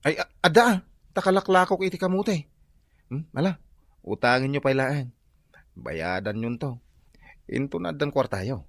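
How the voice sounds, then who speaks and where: A man's voice: mid-range voice, lively intonation, normal speed, gravelly, long pauses, dry recording, studio quality. A man with an Ilocano accent playing a kindly, apologetic husband in a comic radio drama, folksy and placating. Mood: kindly, chatty. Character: soft-hearted, apologetic husband who sells sweet potatoes.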